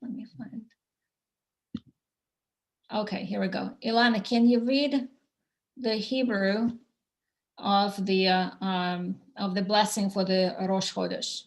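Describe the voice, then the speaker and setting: A woman's voice: medium pitch, steady tone, vocal fry. Russian-accented woman leading shabbat service on a video call, lots of uh and um, restarts a lot. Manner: halting, many fillers and restarts.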